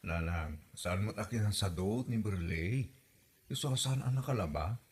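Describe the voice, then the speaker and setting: A man's voice: on the low side, expressive, unhurried, slightly rough. A man playing a mild young husband in a comedy drama, placating and defensive between his mother and his wife. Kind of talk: comedy radio drama acting.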